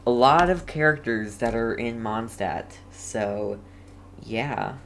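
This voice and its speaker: A game streamer giving casual commentary in a rambling, hesitant way, with halting phrases. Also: medium pitch, a little hiss or hum.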